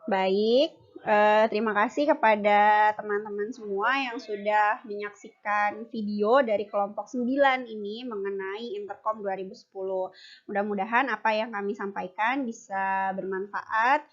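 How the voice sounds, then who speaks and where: A woman's voice: mid-range voice, fairly even intonation, moderate pace. A young adult woman giving an explanatory class presentation as a university student. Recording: dull lo-fi recording.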